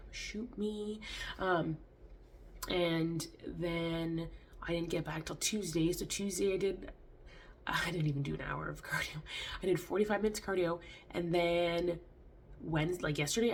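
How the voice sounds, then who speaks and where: Woman from Canada, low voice, nose all blocked up, rambling fitness vlog chat, faint hiss behind. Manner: rambling, lots of "like" and restarts. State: congested, stuffy nose. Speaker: fitness vlogger. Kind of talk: casual chat to camera.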